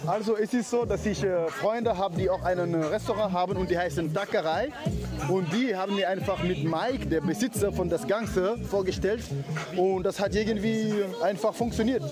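A high-pitched man from Mauritania, raised in Senegal, talks haltingly as an event organizer, stopping often for fillers. He is recorded outdoors in the city with loud dance music pounding behind him. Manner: hesitant, many fillers, loose German grammar. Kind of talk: casual interview answers.

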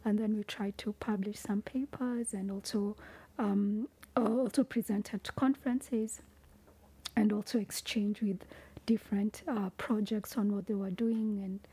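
A woman with a Kenyan accent, a researcher talking casually about her work in a soft, thoughtful way that often trails into a whisper. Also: medium pitch, steady tone, unhurried, breathy edge, low-quality recording. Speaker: cheetah researcher, guest. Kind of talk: casual conversation.

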